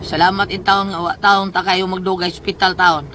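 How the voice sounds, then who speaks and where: A woman's voice: on the low side, level tone, a little fast, heavy background noise, close to an everyday voice. A woman playing a brash, folksy, meddling friend in a radio drama, with a Filipino accent. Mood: scolding, exasperated. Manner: folksy, peppered with verbal filler "taon". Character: brash, meddling, wisecracking provincial friend giving advice. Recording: radio drama with music underneath.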